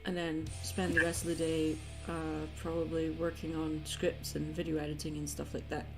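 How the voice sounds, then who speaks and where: A woman with a fairly low voice chats as the host of a casual livestream. Her talk is informal and jokey, and faint guitar music plays behind her. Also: monotone, normal speed, poor audio. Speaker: livestream host and artist taking commissions. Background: guitar music playlist.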